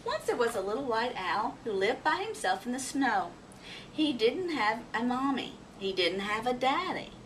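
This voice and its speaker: A woman narrating a children's storybook in an expressive, dramatic way. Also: a little high, moderate pace. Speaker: storybook reader. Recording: distant mic, faint background noise.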